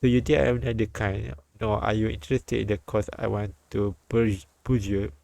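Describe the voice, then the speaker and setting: A man streaming a live read-aloud of a visual novel, halting and repeating words as he goes. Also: lowish voice, flat delivery, gravelly, a little mellow, no room sound.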